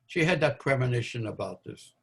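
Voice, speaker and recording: An older man in his eighties, born in Belgium, tells his memories to an interviewer. He speaks at a middle pitch, pausing often with fillers and restarting his sentences as he searches for the words. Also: expressive, breathy edge. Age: 80s. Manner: halting, many fillers and restarts. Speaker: interview guest, Holocaust survivor. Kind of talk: recounting memories in a live interview.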